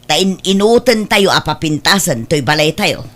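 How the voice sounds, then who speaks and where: A woman's voice: lowish voice, lively intonation, moderate pace, a bit bright. A woman with an Ilocano Filipino accent playing a demanding, money-minded mother in a family audio drama.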